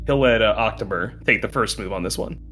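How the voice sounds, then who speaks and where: A man's voice: lowish voice, brisk, sharp tone, faint music behind. A man bantering in casual, slangy talk as a player in a tabletop role-playing game.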